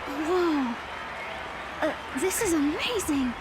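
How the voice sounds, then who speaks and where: A woman's voice: high-pitched, lively intonation, slow pace, over a noisy crowd, heavy background noise. A woman voicing a nervous, eager, geeky teenage girl fan in a video game, gushing and youthful. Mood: excited. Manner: gushing, self-talking, youthful.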